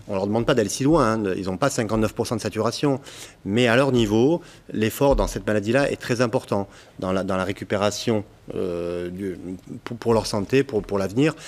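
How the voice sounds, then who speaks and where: A man with a low-pitched voice speaks as a guest on a talk show. He tells his story in a halting way and often restarts his sentences. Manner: hesitant, many restarts. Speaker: guest, patient who climbed a summit. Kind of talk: casual talk show conversation.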